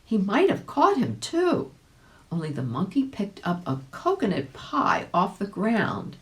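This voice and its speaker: A woman reading aloud as a volunteer, narrating a children's storybook expressively. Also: low voice.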